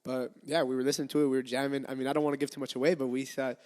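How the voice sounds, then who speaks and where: A man hosting a podcast talks quickly and loosely as he questions his guest. His voice has an ordinary pitch, and it rings out with a long reverb tail in the room. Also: flat delivery, a little mellow. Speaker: podcast host. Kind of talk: casual interview.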